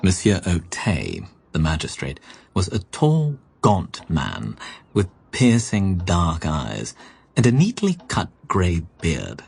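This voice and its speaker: Friendly naive gent, low voice, really lively, radio drama sidekick and storyteller. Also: male voice, slow, creaky, husky, full character voice. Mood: wry narrating. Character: genial, naive English gentleman, detective's loyal companion. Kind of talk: radio drama narration and dialogue.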